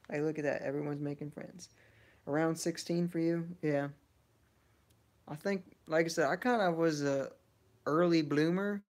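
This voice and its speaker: A man's voice: a little high, steady tone, unhurried, long pauses, darkish tone. A man in his early twenties chatting casually on a livestream, answering viewers in a laid-back, slangy way. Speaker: game streamer.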